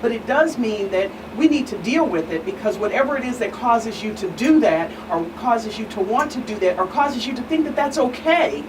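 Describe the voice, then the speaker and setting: A woman speaking as an expert guest on a panel, deliberate and emphatic, repeating phrases for stress. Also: a little high, expressive. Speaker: guest expert, attorney and pastor. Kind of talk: panel discussion, earnest explanation. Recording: distant mic, clearly noisy room hum.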